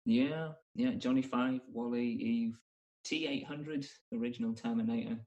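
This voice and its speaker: A man sits a little way from the microphone, chatting casually about films. He has a medium-pitched voice and feels his way through each thought, often rewording it as he talks. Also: fairly even intonation, normal speed, darkish tone, far from the mic, low-quality recording. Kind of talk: casual film chat.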